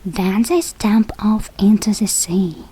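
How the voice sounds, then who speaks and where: A woman who is a non-native English speaker reads a children's fairy tale aloud, carefully and deliberately, with a breathy, husky voice. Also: mid-range voice, steady tone, mellow, low-quality recording. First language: not English. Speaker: storybook reader for English learners.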